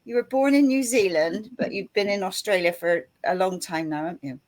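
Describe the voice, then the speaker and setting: A woman's voice: medium pitch, brisk. A middle-aged woman hosting an interview show, bubbly and chatty, often laughing. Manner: bubbly, laughing, warm greetings. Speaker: host. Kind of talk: casual interview talk.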